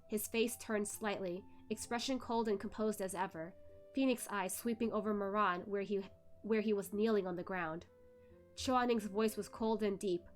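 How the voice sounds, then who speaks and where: A woman streamer reading a web novel aloud in dramatic narration. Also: medium pitch.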